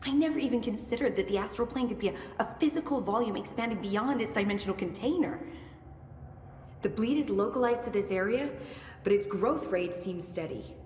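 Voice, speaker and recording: A woman's voice: a little high, expressive, brisk, darkish tone, natural voice. An actress playing an eager, unflappable young woman scientist who talks in a chatty, analytical way in a video game. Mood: fascinated. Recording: echoey hall, faint ambient noise.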